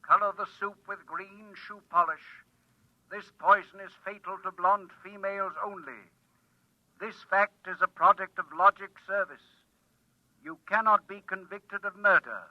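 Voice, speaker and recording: An unfeeling advice machine in a vintage radio play. The voice is fairly high for a male, precise and announcer-like, coming through a small speaker. The recording is dry and dull. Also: steady tone, moderate pace, dry recording. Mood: impersonal, matter-of-fact. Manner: impersonal, precise announcer delivery. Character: talking machine coolly dispensing deadly advice. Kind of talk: old-time radio drama acting.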